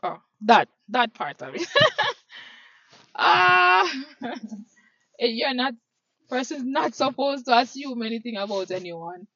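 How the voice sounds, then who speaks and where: A Jamaican woman answering interview questions slowly and hesitantly, thinking aloud as she goes. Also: fairly high pitch, sing-song, vocal fry, bright, forceful tone, no echo, lo-fi sound.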